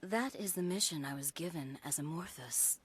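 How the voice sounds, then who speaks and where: A woman's voice: sits low, moderate pace, slightly rough. An actress playing a cool, aloof warrior woman in a video game, curt and dryly condescending. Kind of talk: video game cutscene acting.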